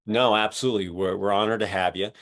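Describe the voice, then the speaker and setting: A man hosting an interview podcast, introducing his guest in a halting way with many uhs. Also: on the low side, fairly even intonation, a bit bright. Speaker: podcast host.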